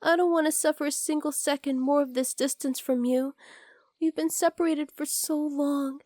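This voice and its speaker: High, soft kitsune woman, voiced by an actress. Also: steady tone, moderate pace, no echo, studio quality, close to an everyday voice. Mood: yearning, adoring. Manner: soft, tender, intimate, addressing the listener directly. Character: devoted, clingy yandere kitsune fox-spirit woman, ageless familiar. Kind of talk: ASMR roleplay.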